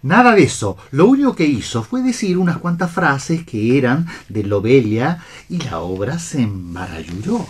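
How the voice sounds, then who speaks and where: An actor playing a fussy, pedantic prompter in a radio play, with a theatrical manner. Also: male voice, medium pitch, sing-song, moderate pace, few pauses, breathy edge.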